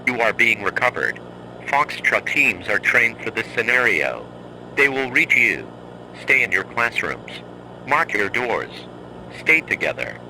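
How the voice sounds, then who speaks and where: A man playing a stern, composed emergency announcer in a horror drama, giving measured, clipped instructions as a scripted broadcast. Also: fairly low pitch, sing-song, bright, forceful tone, a little hiss or hum, full character voice, low-quality recording. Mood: grave, measured.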